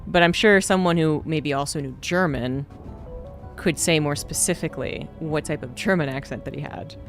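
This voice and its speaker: A man's voice: fairly high pitch, normal speed, soft background music. A man streaming a game, chatting casually and rambling with lots of fillers. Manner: rambling, relaxed, many fillers. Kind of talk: casual gameplay chat.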